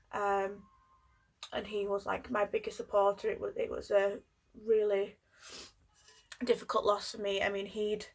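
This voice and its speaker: A woman in her twenties from Yorkshire with a cold, telling a personal story in a hesitant way with many pauses and ums. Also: medium pitch. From Yorkshire, England. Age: 20s. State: has a cold, coughing. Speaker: vlogger.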